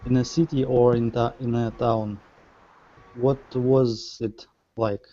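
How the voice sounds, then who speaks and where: Male student, halting and slow, dark mellow tone, muffled call sound. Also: mid-range voice, flat delivery. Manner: slow, halting, searching for words. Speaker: language student.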